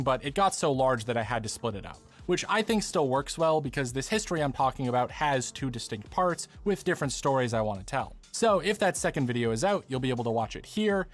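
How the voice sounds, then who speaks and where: A man delivering scripted narration as a video essayist. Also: medium pitch.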